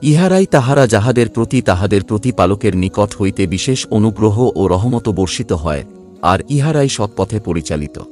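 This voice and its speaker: A man narrating a scripture translation in a formal, solemn scripted reading with measured phrasing. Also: fairly low pitch, lively intonation, a little mellow, faint music behind.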